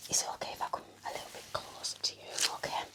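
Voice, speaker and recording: A woman whispering gently and reassuringly in an ASMR roleplay as a nurse, close to the microphone. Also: racing.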